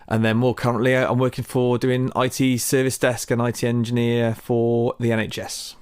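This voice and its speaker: A man's voice: mid-range voice, little pitch movement, moderate pace, smooth, no room sound, studio quality. A man in his thirties with a Shropshire accent and a slight Cockney twang, chatting casually as a podcast guest, rambling with restarts. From West Midlands, England. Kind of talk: casual chat.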